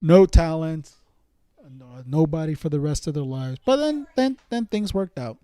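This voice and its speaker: A man's voice: a little high, expressive, a little slow, dark tone, dry recording. A man around thirty talking casually to viewers as a streamer, in a rambling, slangy way that often circles back and repeats. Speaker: live streamer chatting with viewers. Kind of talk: casual chat.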